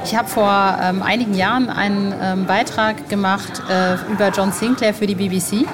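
A woman with a mid-range voice hosts a casual interview. She speaks informally and repeats words as she thinks. There is clear crowd noise behind her. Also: medium pitch, steady tone, normal speed. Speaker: podcast host. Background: crowd chatter.

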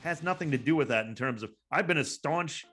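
A man's voice: a little high, animated, a little fast, a bit bright, breathy edge, soft background music. A man giving impassioned sports commentary in a panel discussion, emphatic and exasperated, repeating words for stress. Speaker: sports broadcaster, guest pundit.

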